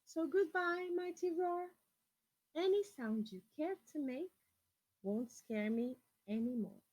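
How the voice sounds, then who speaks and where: A woman's voice: high-pitched, slow pace, a little mellow, pitched above the performer's own voice. A woman voicing a triumphant dinosaur who teases a former bully in sing-song fashion, for a children's picture book. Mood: teasing, triumphant. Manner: sing-song, taunting farewell.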